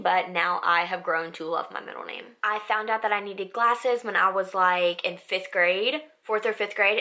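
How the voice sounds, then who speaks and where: A young woman with a raspy voice, chatting casually to camera in a vlog, full of "like" and "um". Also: on the low side, sharp tone. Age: young adult. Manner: chatty, filler-heavy with frequent "like" and "um". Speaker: vlogger. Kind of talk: casual facts-about-me vlog.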